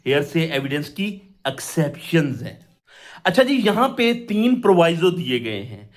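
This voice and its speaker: High-pitched, animated Pakistani man teaching. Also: unhurried. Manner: didactic, asks and answers own questions. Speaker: law teacher. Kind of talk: explanatory law lesson, mixing Urdu with English legal terms.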